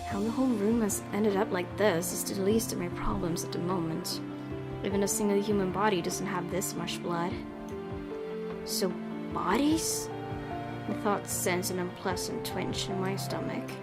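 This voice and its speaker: Young woman streamer reading game text with casual asides, mid-pitched, loud game music under her. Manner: reads narration with chatty asides. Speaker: gaming streamer. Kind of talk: reading a visual novel aloud.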